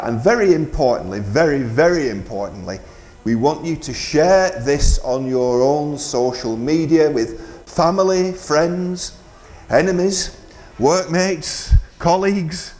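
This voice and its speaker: A man's voice: on the high side, normal speed, a little mellow. A man, presenting and narrating for community radio.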